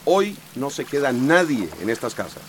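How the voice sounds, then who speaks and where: Male actor for radio drama mayor: mid-pitched, firm, caring, persuasive, light rainy ambience behind. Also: very animated, normal speed, lightly acted. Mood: commanding. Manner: insistent, persuasive. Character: resolute, caring male mayor of a storm-threatened town. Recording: noisy rain and vehicle ambience.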